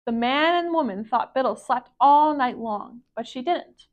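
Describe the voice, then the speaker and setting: A woman with a high voice reads a picture book to children. She is a library storyteller, and her phrasing is careful and expressive. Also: measured pace, dull audio.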